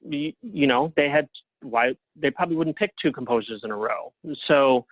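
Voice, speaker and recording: A man speaking as a guest in a casual interview over a thin, phone-like line, halting and trailing off at times. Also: medium pitch, expressive, no room sound.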